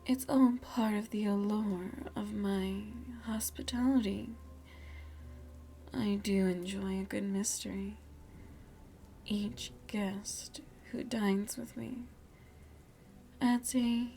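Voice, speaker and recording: A woman playing a seductive, aristocratic vampire lady in an ASMR roleplay, speaking intimately and formally with a breathy, often whispering voice and teasing, veiled menace. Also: medium pitch, fairly even intonation, slow pace, pauses often, dark tone, slight background noise.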